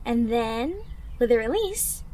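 Woman voicing a high-pitched, bubbly teen hero girl. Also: sing-song, takes it slowly, very noisy. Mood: encouraging, explaining. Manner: bubbly, instructional, talking directly to the listener. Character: upbeat, encouraging teenage girl superhero trainee, eager to teach. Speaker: audio roleplay performer. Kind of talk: anime fan ASMR roleplay acting.

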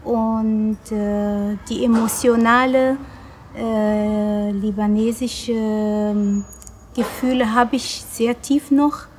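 Middle-aged woman, measured, searching words. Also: on the high side, fairly even intonation, a little mellow, slight background noise. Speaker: school director. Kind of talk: documentary interview. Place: outdoors in a park.

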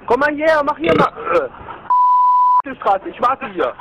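A man's voice: very high-pitched, unhurried, noisy background. A man answering a prank call over a speakerphone, curt and dismissive. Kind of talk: phone conversation.

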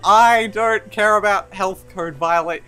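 A high, bright-voiced crime boss, played by a man, brash and crude. He rambles and swears like a bully in a comic game dub, with faint music behind him. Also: unhurried, smooth. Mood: smug, menacing. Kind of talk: improvised fan dub comedy.

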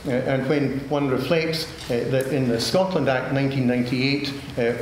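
An older man speaking on a policy panel in a large formal room, halting and measured with many filler sounds. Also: mid-range voice. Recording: echoey hall, room noise.

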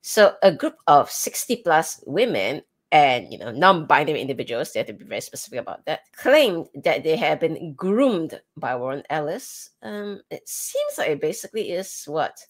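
A woman's voice: sits low, very animated, moderate pace, hardly any pauses. A woman co-hosting a talk show, giving emphatic, opinionated commentary in a conversational way. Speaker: co-host of a comics talk show. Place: indoor room, on a video call.

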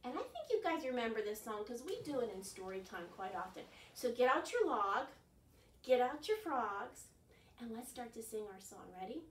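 A woman's voice: a little high, sing-song, normal speed, slight background noise. A woman in her sixties hosting an interactive children's storytime, encouraging listeners to join in, recorded at home by a distant microphone. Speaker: children's library storytime host. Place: carpeted living room at home. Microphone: distant camera microphone. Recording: roomy, mic a few metres away.

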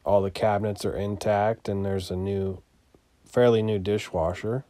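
A man giving a casual, unscripted property walkthrough as a landlord, in a matter-of-fact, halting way that trails off. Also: fairly low pitch, little pitch movement, mellow, dry recording. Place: inside an empty mobile home, starting on the porch.

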